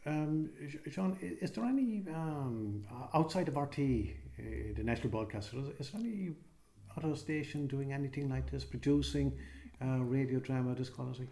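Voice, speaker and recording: A man's voice: medium pitch, expressive, moderate pace, vocal fry, mellow. A man interviewing casually and hesitantly, stumbling over the starts of his words, in a recording studio.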